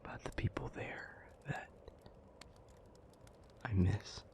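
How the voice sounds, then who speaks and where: A man playing a weary, gentle demon in an intimate audio roleplay, speaking breathily and earnestly with halting pauses. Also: natural voice. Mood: wistful, reflective. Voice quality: breathy, whispery, low. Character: weary, gentle male demon, homesick and protective.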